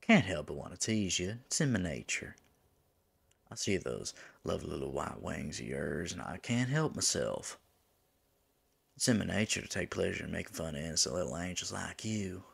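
A man acts out a flirtatious male demon in an intimate ASMR roleplay, smug but smitten. His deep drawl crackles with fry and swings expressively as he teases, slipping into breathy murmurs. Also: lively intonation, moderate pace, a bit bright, heavily acted. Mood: playful, flirtatious.

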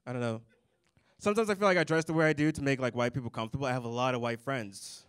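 A young man performing a stand-up set on a comedy club stage into a handheld mic, with a deadpan, dry delivery and little chuckles. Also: mid-range voice, racing, smooth, no echo.

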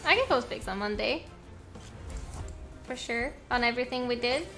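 A woman's voice: fairly high pitch, lively intonation, normal speed, over music. A young woman from Norway, a native Norwegian speaker, chatting casually in English with her viewers while streaming a game, laughing often and answering chat. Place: home room at a desk.

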